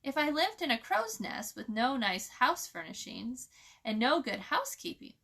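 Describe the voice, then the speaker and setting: A prim little twig doll lady from a children's storybook, played by a woman reader. Her voice is high and sharp, and she snaps out her words with indignant emphasis and a quick temper. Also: very animated, creaky, heavily acted.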